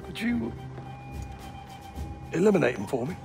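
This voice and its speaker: An actor playing a guarded, sly assassin bureau chief in a video game, speaking with insinuating pauses. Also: male voice, a little high, sing-song, gravelly, a little mellow, faint music behind, heavily acted. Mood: wary, scheming. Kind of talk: video game drama acting.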